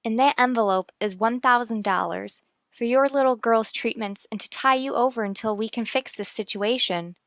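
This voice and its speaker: A woman's voice: on the high side, moderate pace, fairly bright tone, dry recording, clean studio sound. A woman playing a composed, formal figure of authority in an old-time radio drama. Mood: reassuring.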